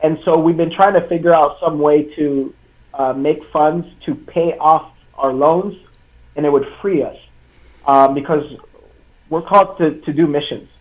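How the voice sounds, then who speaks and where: A young man from Congo, a native French speaker, talking as a guest author on a video call, earnest and halting. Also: fairly high pitch, fairly even intonation. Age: young adult. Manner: earnest, halting, many fillers and restarts. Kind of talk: live online interview. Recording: tinny video call line.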